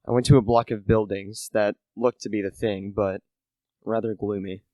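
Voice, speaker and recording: A man's voice: fairly low pitch, flat delivery, moderate pace, warm tone, dry recording, close to an everyday voice. A teenage student actor playing a humble small-time salesman, hesitant and confiding, in an amateur radio play. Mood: confiding, rueful. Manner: hesitant, self-effacing, confiding. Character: humble, deferential small-time relish salesman narrating his tale. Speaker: high school drama student.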